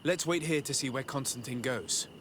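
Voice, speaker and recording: A man playing a composed, courteous young noble envoy in a video game, speaking in a polite, measured way. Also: medium pitch, very noisy, close to an everyday voice. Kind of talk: video game dialogue acting.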